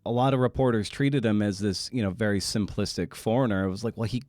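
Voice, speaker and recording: A man hosting a podcast interview, speaking thoughtfully in halting phrases with frequent restarts. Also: lowish voice, a little mellow, no room sound. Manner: thoughtful, halting, many "uh" and "you know" restarts. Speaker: podcast host. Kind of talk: interview conversation.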